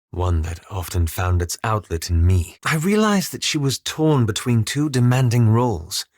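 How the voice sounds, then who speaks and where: A man playing a courteous, reserved elven wizard in a fantasy game, with formal, articulate and measured delivery. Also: on the low side, no echo, clean studio sound, lightly acted. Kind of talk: scripted fantasy game dialogue.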